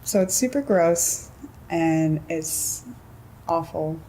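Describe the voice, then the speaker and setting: A woman in her twenties from California, chatting casually in an interview, relaxed and giggly with lots of filler words and a low voice. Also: slow pace, a little hiss or hum, low-quality recording. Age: 20s.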